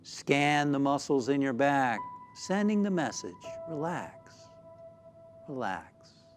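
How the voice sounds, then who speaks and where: A man, a minister, leading a spiritual guided meditation in a soothing, deliberate way with frequent pauses. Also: medium pitch, animated. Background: background music.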